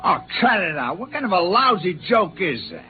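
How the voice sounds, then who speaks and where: A man's voice: sits high, big pitch swings, normal speed, slight background noise. Protesting nervous spaceman, swooping intonation, old radio play, narrow tinny sound. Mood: alarmed. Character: frightened, protesting spaceman crewman. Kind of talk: 1950s radio drama acting.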